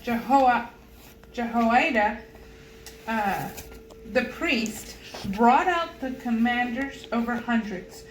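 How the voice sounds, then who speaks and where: Woman, higher-pitched voice, unhurried halting scripture reading, roomy echo. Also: expressive. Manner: halting, stumbles over unfamiliar names. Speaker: Bible reader. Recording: roomy echo with loud background noise.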